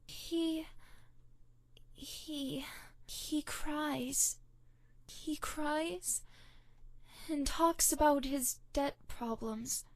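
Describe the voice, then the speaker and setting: A woman voicing a sweet, anxious high-voiced schoolgirl. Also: no echo. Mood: sad, confiding. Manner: soft, polite, often pleading or shy. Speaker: voice actress for a game character. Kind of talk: game voice lines.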